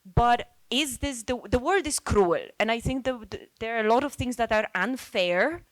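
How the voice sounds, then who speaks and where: A woman's voice: a little high, normal speed. A woman from Poland, a native Polish speaker, speaking informally and earnestly in a group discussion, reflecting and thinking aloud. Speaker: NGO worker speaking as a participant.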